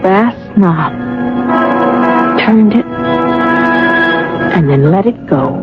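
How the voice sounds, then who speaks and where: Wistful young woman traveler, quietly insistent, reflective radio drama delivery, music behind, narrow muffled sound. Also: mid-range voice, very animated, extremely slow. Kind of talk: 1980 radio drama acting and first-person narration.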